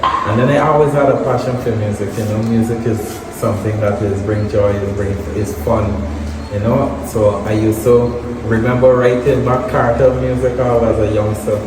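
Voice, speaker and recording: A young man with a Guyanese accent, talking about his music as an interview guest, relaxed and halting with many fillers. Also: mid-range voice. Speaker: guest, soca artist. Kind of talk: casual interview. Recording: echoey room, distant mic, noisy.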